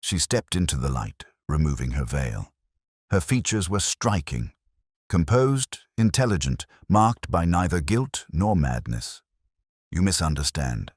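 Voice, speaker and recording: An extremely low-pitched man narrates scripted mystery fiction in a measured, dramatic manner. His intonation moves expressively, and the sound is close and dry. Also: big pitch swings, slightly rough, no echo. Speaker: narrator of detective audio fiction. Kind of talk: scripted first-person mystery narration, audiobook reading.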